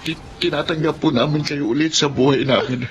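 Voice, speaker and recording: A man's voice: on the high side, fairly even intonation, brisk, noisy background, lightly acted. A man acting an apologetic, respectful young adult son in a radio drama, earnest and pleading. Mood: earnest, affectionate. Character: apologetic, respectful grown son making peace with mother. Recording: dull lo-fi audio under music.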